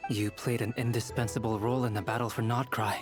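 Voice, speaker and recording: A man playing a calm, gracious young alchemist. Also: mid-range voice, natural voice. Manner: calm, formal, measured. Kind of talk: video game voice acting. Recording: game music behind.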